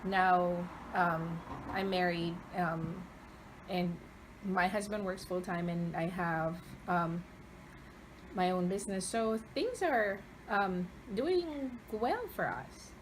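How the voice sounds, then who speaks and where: A woman speaks very slowly, in a slightly low voice. She answers as an interviewee, conversationally, with frequent hesitant pauses. There is faint noise in the recording. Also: low-quality recording. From Philippines, Cavite province. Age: 30s. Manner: hesitant, pausing often mid-phrase.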